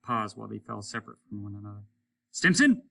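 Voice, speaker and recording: A man's voice: lowish voice, monotone, very fast, creaky, bright, forceful tone. A man reading a story aloud as a teacher would, in dramatic storytelling narration.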